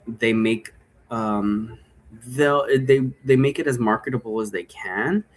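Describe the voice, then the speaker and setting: A man's voice: medium pitch, unhurried. A man giving a rambling, informal explanation, thinking aloud and wandering between points. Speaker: game creator explaining role-play rules. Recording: noticeable background noise.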